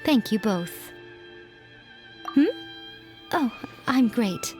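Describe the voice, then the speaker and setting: A woman's voice: a little high, expressive, drawn-out words, darkish tone. A woman voicing a teasing, playful fox-spirit shrine priestess in a video game, languid and mischievous. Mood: wistful. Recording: game music playing faintly behind.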